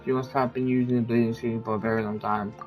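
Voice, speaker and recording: A man's voice: medium pitch, little pitch movement, fast, music in the background. A man giving casual, rambling commentary as he plays through a story-driven video game, with informal asides. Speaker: gamer reacting to a game story.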